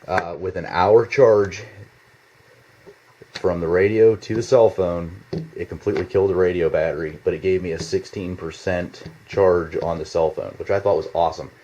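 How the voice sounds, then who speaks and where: A man giving a casual, unscripted product demonstration in a halting, rambling, informal way, recorded indoors in a home basement. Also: sits low, steady tone, mellow. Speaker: product reviewer. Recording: slightly dull, lo-fi home video sound.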